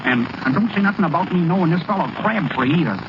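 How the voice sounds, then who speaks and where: High-pitched, artless young ballplayer. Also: male voice, expressive, quick pace. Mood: worried, sheepish. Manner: folksy ungrammatical talk, artless and earnest. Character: naive, worried small-town baseball pitcher, plain and slow-witted. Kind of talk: 1930s radio acting. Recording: noisy, muffled vintage radio broadcast recording.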